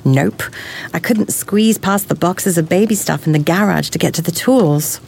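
A wry wife with creaky voice. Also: female voice, on the low side, normal speed, a little mellow, a little hiss or hum. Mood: relaxed, intimate. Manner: wry, intimate domestic chat. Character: wry, tolerant pregnant wife of a scientist. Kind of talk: full-cast audio drama acting.

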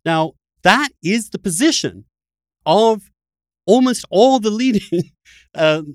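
A man's voice: high-pitched, animated, slow pace, dry recording, studio quality. A middle-aged man hosting a conversational political debate, arguing emphatically in formal phrasing. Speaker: podcast host.

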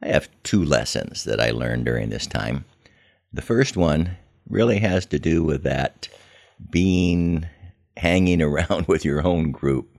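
A man's voice: rumbling low, expressive, unhurried, creaky, a little mellow. An older man hosting a podcast, telling personal stories in a conversational way with wry, self-deprecating humor. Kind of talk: conversational first-person storytelling.

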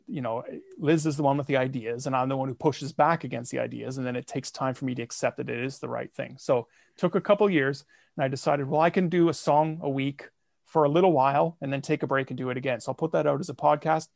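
A man's voice: mid-range voice, fast talker, creaky, darkish tone, dry recording. Self-mocking man telling a story, dry sound. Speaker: guest, comedy podcaster and songwriter. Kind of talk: casual interview.

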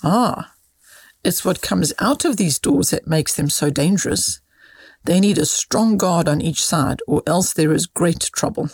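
A woman's voice: fairly low pitch, lively intonation, unhurried, a little mellow, husky, no echo, full character voice. Woman reading as kindly older boy cousin, moral storyteller. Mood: patient, mysterious.

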